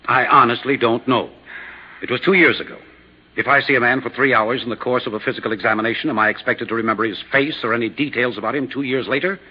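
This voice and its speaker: An actor playing an indignant, defensive doctor, curt and haughty, in 1950s radio drama style. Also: male voice, medium pitch, steady tone, quick pace, husky, natural voice. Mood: defensive, flustered. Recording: dull lo-fi 1950s radio recording.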